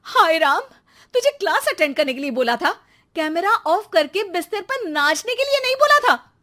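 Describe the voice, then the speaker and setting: A woman voicing an exasperated, sarcastic Indian mother who nags loudly and dramatically in a children's cartoon story. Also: very high-pitched, very animated, normal speed, pitched above the performer's own voice, heavily acted. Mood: scolding. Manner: sarcastic, loud nagging with dramatic exclamations. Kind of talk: animated children's comedy story.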